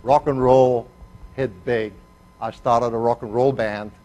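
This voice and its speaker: An older man from Argentina, a native Spanish speaker, gives a chemistry lecture with a mixed foreign accent. He is digressive and self-deprecating and pauses often on "uh.". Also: medium pitch, steady tone, a little mellow, rough recording. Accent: mixed foreign accent, Spanish first language. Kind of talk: university lecture.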